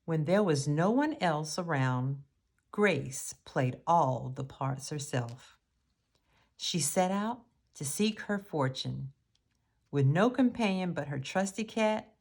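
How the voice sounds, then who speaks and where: Female storybook reader. Low register, unhurried pace, measured phrasing for young listeners. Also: lively intonation, creaky, a little mellow. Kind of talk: read-aloud children's story narration.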